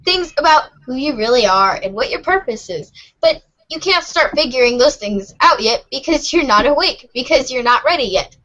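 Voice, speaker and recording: A woman's voice: high-pitched, animated, normal speed, a bit bright, rough recording. A woman voicing an earnest, cryptic, friendly teenage girl who explains things casually in an amateur readers' theater.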